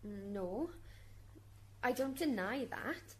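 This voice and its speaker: A woman's voice: fairly high pitch, animated, drawn-out words, a little hiss or hum. A woman playing an indignant, defensive wife and mother on trial in a radio drama. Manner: aggrieved, defensive.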